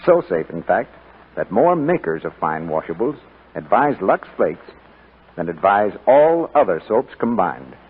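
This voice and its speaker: A male announcer delivers a scripted 1940s radio advertisement. His voice is on the low side and rough-edged, with lively swings in pitch, over a narrow lo-fi broadcast. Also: a little slow. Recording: muffled 1940s radio recording.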